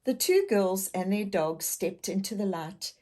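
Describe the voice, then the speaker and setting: Female children's story reader, unhurried and expressive, speaking directly to young listeners. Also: medium pitch. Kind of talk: animated read-aloud storytelling for young children.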